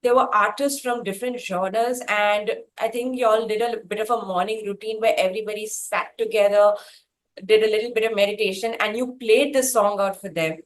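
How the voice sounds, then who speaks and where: A woman hosting a conversational interview, speaking effusively in halting phrases with repeated words for emphasis. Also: mid-range voice, fairly even intonation, poor audio. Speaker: interviewer, host.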